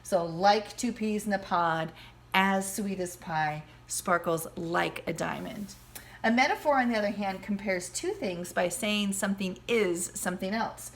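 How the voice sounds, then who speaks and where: A woman's voice: medium pitch, measured pace. A woman teacher with a slightly scratchy voice, explaining simply to young children as she teaches a lesson and reads a picture book. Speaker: second-grade teacher. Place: at home.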